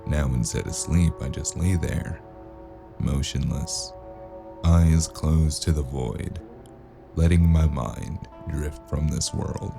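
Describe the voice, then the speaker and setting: A man's voice: extremely low pitch, vocal fry, over music, full character voice. A man voicing a weary, brooding male noir detective narrating in first person. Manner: slow, brooding, hushed.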